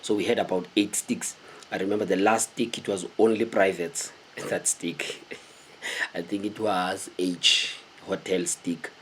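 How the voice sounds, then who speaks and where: A South African man in his thirties tells stories casually to the camera in an animated, conversational way, often putting questions to his viewers. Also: sits low, a little slow, a little hiss or hum. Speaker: host telling stories of his military service. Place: small indoor room with cloth backdrop.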